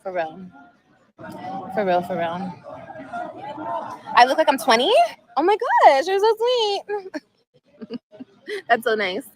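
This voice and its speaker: A woman's voice: high-pitched, big pitch swings, slow, pauses often, some chatter behind, rough recording. A woman in her thirties chatting casually on a livestream outdoors at sunset, emotional and rambling, repeating herself often. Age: 30s. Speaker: vlogger.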